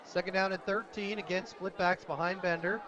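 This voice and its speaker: High-pitched American man calling football, crowd behind. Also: steady tone, moderate pace, lo-fi sound. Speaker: radio play-by-play sports announcer. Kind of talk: live American football commentary. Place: outdoors at a high school football game. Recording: outdoor stadium broadcast with crowd noise. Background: crowd at a stadium.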